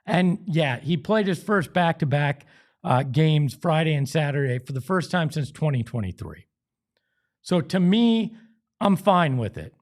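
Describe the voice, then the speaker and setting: American man, fairly high voice, sports show host, emphatic, conversational delivery. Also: sing-song, a little slow, darkish tone. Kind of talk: opinionated sports talk and host-read ads.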